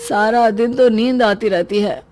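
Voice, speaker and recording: A woman's voice: on the high side, unhurried, a little mellow, natural voice. A woman voicing a fretful young husband in a cartoon story, speaking in a complaining, whiny manner. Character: anxious young husband, whiny, fearful of pain.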